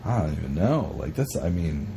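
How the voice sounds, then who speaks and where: A man co-hosting a podcast, chatting casually in an animated, rambling, sweary way as he retells stories. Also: deep voice, moderate pace, gravelly, a little hiss or hum. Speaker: podcast co-host. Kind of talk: casual chat retelling a historical story.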